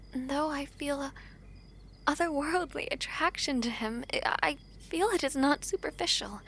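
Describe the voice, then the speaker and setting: A woman playing a gentle, earnest young princess in a romantic audio drama, speaking softly in formal, hesitant phrases. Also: high-pitched, slight background noise.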